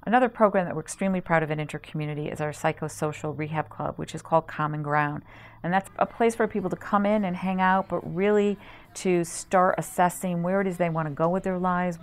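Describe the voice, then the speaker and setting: A woman's voice: lowish voice, fairly even intonation, brisk. A woman speaking earnestly as a staff representative, explaining services in a promotional interview. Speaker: staff representative of a community health organization. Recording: music playing under the voice.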